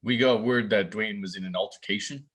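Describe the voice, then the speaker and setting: A man's voice: on the low side, fairly quick, a bit bright, husky. A man playing a businesslike police officer in a screenplay table read. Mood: calm, official.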